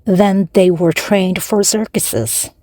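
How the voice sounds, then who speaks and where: A woman narrating a children's story slowly and clearly for language learners, with pauses between phrases. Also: mid-range voice, warm tone, no room sound. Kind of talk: slow, clear read-aloud for language learners.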